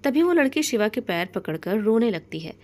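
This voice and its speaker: A woman's voice: on the high side, big pitch swings, a little fast, darkish tone. Woman narrating a scripted story.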